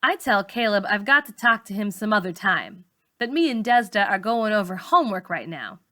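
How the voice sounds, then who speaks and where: A woman reading a novel aloud in expressive narration, like a teacher reading to students. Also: mid-range voice, normal speed. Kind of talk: expressive audiobook-style narration.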